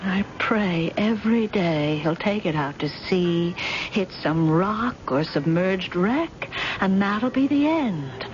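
A woman's voice: medium pitch, lively intonation, takes it slowly. An actress playing a bitter, scheming wife who loathes her husband, in an intense, theatrical 1970s radio drama style. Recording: dull, hissy old radio broadcast.

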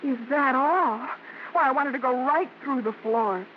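An actress playing a proud, spirited young American vaudeville dancer, tender yet easily indignant, in theatrical 1950s radio drama. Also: female voice, sits high, a little slow. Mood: embarrassed, indignant. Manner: theatrical, emphatic. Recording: muffled, noisy old radio broadcast.

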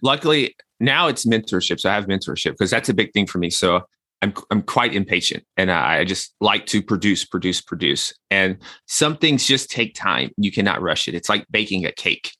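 A man in his thirties with a lowish voice and a bright, forceful edge to it. He talks as an interview guest and works through his points with homely comparisons. The sound is close and dry. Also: expressive, normal speed, no echo. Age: 30s. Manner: reflective, uses everyday analogies. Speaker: guest, health consultant. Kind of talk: conversational interview.

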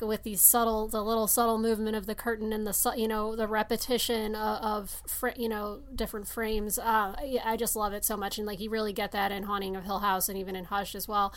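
A woman co-hosting a podcast, discussing film casually and emphatically, with offhand swearing. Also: fairly high pitch. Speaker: podcast co-host.

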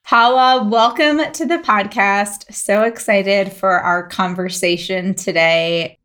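A woman hosting a wellness podcast, reading her intro and asking questions in a bright, upbeat, enthusiastic way. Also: medium pitch, takes it slowly. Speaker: podcast host and company founder.

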